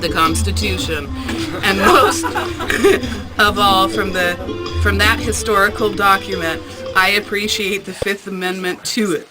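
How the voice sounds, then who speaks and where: A woman's voice: medium pitch, unhurried, poor audio. A woman teacher reading a personal essay aloud in a classroom, with an amused manner. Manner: amused, often breaking into laughter. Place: school classroom. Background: music.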